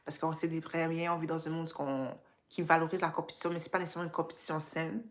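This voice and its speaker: A woman's voice: low-pitched, level tone, fast. A woman with a Quebec French accent touched by Creole and English, chatting informally and motivationally as a live stream host, dropping in English slang. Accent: Quebec French with some Creole and English influence. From Quebec, Canada. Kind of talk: casual motivational live chat.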